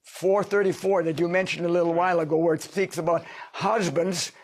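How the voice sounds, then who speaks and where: A man's voice: sits high, steady tone, fairly quick. A man being interviewed as a sociologist, explaining at length in an emphatic, lecturing way. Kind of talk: studio interview.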